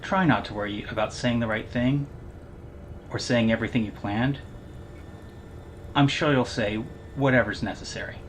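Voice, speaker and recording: An actor playing a calm, sympathetic technician who briefs a client in a measured, procedural way in an audio drama. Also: medium pitch, a little hiss or hum, natural voice. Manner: measured, procedural, gently reassuring.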